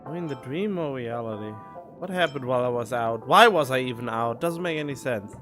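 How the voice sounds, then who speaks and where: Streamer reacting to a game, grumbly and disbelieving, swears a bit, quiet music under it. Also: medium pitch, animated, a little fast. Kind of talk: casual livestream reaction commentary.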